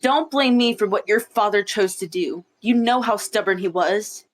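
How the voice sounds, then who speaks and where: A woman acts out a haughty she-cat clan leader in an animal roleplay. She is sneering and defensive, at a medium pitch. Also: normal speed, lightly acted. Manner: sneering, excuse-making.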